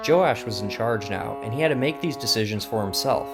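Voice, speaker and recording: A man's voice: on the low side, animated, a little fast. A man narrating a scripted Bible story in a storytelling style. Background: plucked guitar music.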